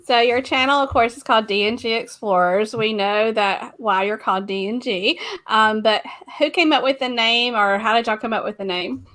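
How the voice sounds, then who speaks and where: A woman's voice: on the high side, normal speed, hardly any pauses, fairly bright tone. A woman hosting a live video interview, asking friendly questions and laughing often. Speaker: host.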